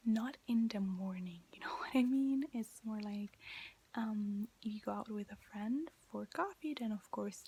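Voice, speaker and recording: A woman with an Italian accent gives an informal, gentle language lesson in a breathy whisper, pausing as she explains. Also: medium pitch. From Italy. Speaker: language teacher.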